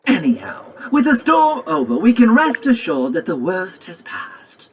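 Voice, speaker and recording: Prim, swooping robot game guide. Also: female voice, fairly low pitch, wildly swooping pitch, unhurried, slight background noise. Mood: matter-of-fact, reassuring. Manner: prim, wordy, matter-of-fact explaining. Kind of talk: video game cutscene dialogue and narration.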